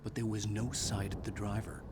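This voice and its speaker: Man acting driven writer, intense, game voice acting, nightmare-haunted, loud noise behind. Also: lowish voice, level tone, a little fast, natural voice. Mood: brooding. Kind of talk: video game voice acting and narration.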